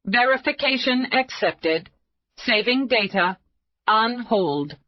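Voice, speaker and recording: A woman's voice: fairly high pitch, expressive, slow, dry recording, pitched above the performer's own voice. A woman voicing an office computer that answers in formal, clipped system announcements. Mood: officious. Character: flat, rule-bound office computer voice. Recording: dull lo-fi audio.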